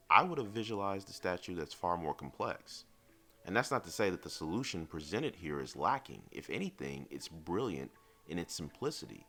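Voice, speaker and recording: A man reviewing collectibles in semi-scripted narration, speaking in a measured, articulate and lightly wry way over background music. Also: extremely low pitch, fairly bright tone.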